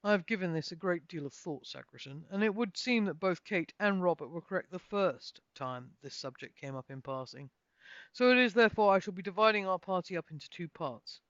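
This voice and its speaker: A woman voicing a calm male magic user in a storybook, formal, deliberate and quietly in charge. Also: lowish voice, dry recording, lo-fi sound, natural voice. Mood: measured, authoritative. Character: calm, measured male magic user, softly authoritative leader.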